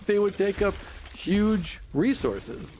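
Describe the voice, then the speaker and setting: A man's voice: high voice, animated, slow pace, darkish tone, a little hiss or hum. A man co-hosting a podcast, casually discussing tabletop games and making emphatic points with repetition. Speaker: podcast co-host.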